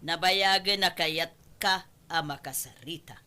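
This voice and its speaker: Actress as a bright-voiced, indignant scolding mother. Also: fairly low pitch, unhurried. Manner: scolding, demanding answers. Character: indignant, protective middle-aged mother of a groom. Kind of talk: Ilocano radio drama acting.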